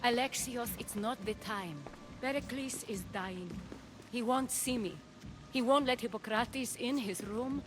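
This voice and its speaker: A woman's voice: fairly high pitch, unhurried, close to an everyday voice. An actress playing a grave, commanding and anxious noblewoman in a dramatic video game cutscene. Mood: worried, insistent. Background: prominent music.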